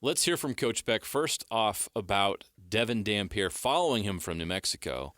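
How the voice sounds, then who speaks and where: A man's voice: fairly low pitch, lively intonation, a bit bright, no echo, studio quality. A man hosting a sports radio show, asking pointed questions and rattling off stats. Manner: leading questions, rapid stat rundowns.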